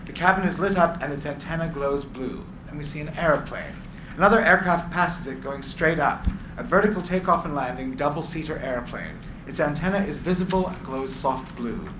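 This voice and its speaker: A man reading screenplay stage directions as narrator, with deliberate descriptive phrasing. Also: on the high side. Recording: echoey room, clear background noise.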